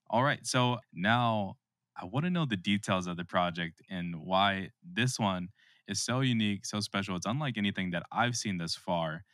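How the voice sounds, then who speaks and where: Male podcast host, very low voice, dry close sound, conversational interviewer. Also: fairly bright tone, no echo.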